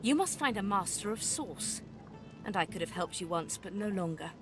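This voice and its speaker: An actress playing a world-weary, sharp-tongued sorceress mentor in a fantasy video game, with a sardonic, impatient and dramatic manner. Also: female voice, medium pitch, natural voice. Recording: music behind the voice. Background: music.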